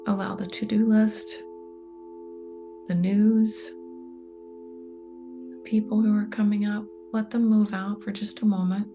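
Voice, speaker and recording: A woman leading a guided meditation, speaking softly and slowly, often close to a whisper. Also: mid-range voice, level tone. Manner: soft, slow and soothing, often near a whisper. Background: soft meditation music with singing bowl tones.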